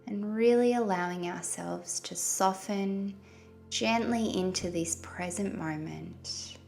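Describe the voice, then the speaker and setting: A woman leading a guided meditation in a gentle, soothing manner with long pauses between phrases. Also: mid-range voice. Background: soft background music.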